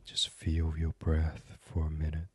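Very deep, breathy man guiding sleep meditation. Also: completely flat delivery, no room sound. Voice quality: breathy, husky, partly whispered. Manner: soothing, hushed, gentle, with many pauses. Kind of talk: guided sleep meditation, soft ASMR reading.

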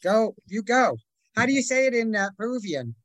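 An interviewer asking a guest questions in a curious way, with quick follow-ups. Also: mid-range voice, sing-song, a bit bright.

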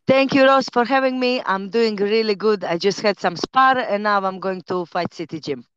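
A woman in her thirties from Croatia with a Croatian accent, a professional fighter and trainer chatting as a podcast guest, relaxed and quick to laugh, pausing often on "uh". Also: medium pitch, muffled recording, background noise. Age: 30s. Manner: relaxed, laughs easily, many "uh" pauses in English. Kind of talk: casual interview chat.

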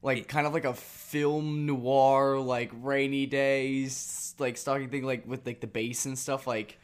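A young man co-hosts a laid-back podcast. He rambles and jokes, scattering "like" through his speech in a mid-pitched voice. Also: level tone, slow pace, clear, resonant tone. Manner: rambling, with many "likes" and laughs. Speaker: podcast co-host. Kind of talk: casual podcast chat.

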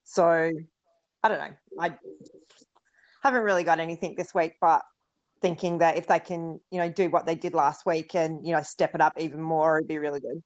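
A woman's voice: low voice, steady tone, moderate pace, a little mellow. A woman co-hosting a podcast, rambling through her thoughts with lots of "you know" and "like". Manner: rambling, many fillers. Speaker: podcast co-host. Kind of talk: casual podcast chat. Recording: dull lo-fi sound.

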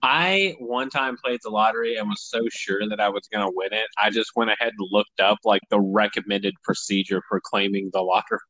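A man's voice: fairly low pitch, a little fast, few pauses, bright, forceful tone, no echo, low-quality recording. A thirty-year-old man from Tennessee with a Southern US accent, chatting casually as a podcast host, wry and sweary, acting out what people say. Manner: wry, sweary, acts out quoted remarks. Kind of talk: casual comedic chat.

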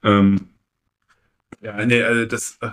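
A young man co-hosting a podcast, telling personal stories casually and haltingly, often repeating phrases. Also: lowish voice, flat delivery, a bit bright. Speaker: podcast co-host. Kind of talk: casual chat, personal storytelling.

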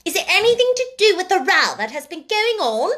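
Woman reader as nosy schoolgirl, high shrill pitch, lively swoops, eager, insistent, storybook character. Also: exaggerated voice. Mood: eager, curious. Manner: eager, rapid-fire questioning. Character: excitable, nosy schoolgirls clamouring for gossip.

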